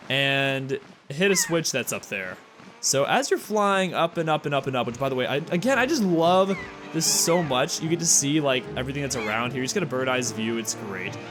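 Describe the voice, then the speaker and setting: A tired man with a slightly raspy voice and occasional cracks, chatting casually as he comments on a video game he plays. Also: on the high side, background noise. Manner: rambling, chatty, quick asides and chuckles. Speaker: let's play host. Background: game music.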